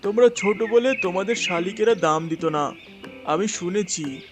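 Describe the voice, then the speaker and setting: A benevolent eagle king of the birds from a kids' cartoon, played by a man. His voice is high for a male, encouraging and moralizing, over prominent music. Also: moderate pace, close to an everyday voice. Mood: kindly, encouraging. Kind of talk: children's cartoon character voice.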